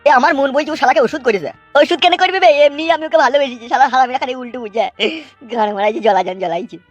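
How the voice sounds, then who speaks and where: A woman's voice: sits high, fast talker, soft background music. A woman voicing a lovesick, hot-tempered young man in a comedy cartoon, melodramatic and slangy. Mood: lovesick, bitter. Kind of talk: Bengali comedy cartoon dub.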